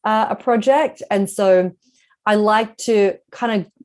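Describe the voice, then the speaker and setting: A woman's voice: mid-range voice, slow pace, a little mellow. A woman speaking as a guest author in a casual interview, explaining things in a reflective way.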